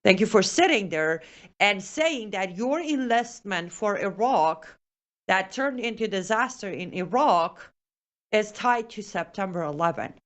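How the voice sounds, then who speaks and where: A woman's voice: mid-range voice, expressive, unhurried. A woman hosting a political commentary show, making her case point by point with pointed sarcasm. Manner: sarcastic, emphatic, building point by point. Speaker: host.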